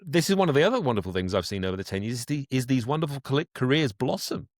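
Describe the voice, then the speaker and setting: A man's voice: mid-range voice, expressive, rapid-fire, dry recording, studio quality. A man speaking as an interview guest, a voice actor explaining his work in a reflective, conversational way.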